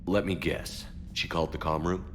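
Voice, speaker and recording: An actor playing a resolute, dry and blunt military commander in a video game. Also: male voice, low-pitched, level tone, moderate pace, background noise, lightly acted. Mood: terse, firm. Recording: loud music under the voice.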